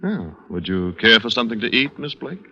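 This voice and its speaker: A man's voice: lowish voice, big pitch swings, brisk, vocal fry, bright, forceful tone, slight background noise, exaggerated voice. A man playing an urbane, smitten hero who narrates his own story in wry, polished 1940s radio style. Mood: wry, suave. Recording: muffled vintage radio drama with music.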